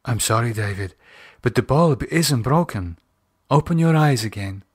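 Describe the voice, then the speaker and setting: A man voicing a calm, solicitous foreign woman in an audiobook horror drama, gently commanding, with precise formal phrasing. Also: medium pitch, moderate pace, breathy edge, no echo, clean studio sound. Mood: calm, probing.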